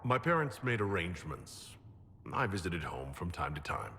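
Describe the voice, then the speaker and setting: An actor playing an earnest, polite young nobleman mage in a video game, speaking in a measured, sincere way. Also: low voice, big pitch swings, sharp tone, breathy edge, full character voice. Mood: earnest, candid. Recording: long reverb of a hall.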